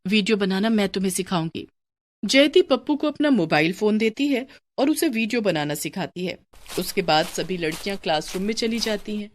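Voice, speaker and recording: A woman's voice: medium pitch, expressive, moderate pace. A woman narrating a scripted children's story.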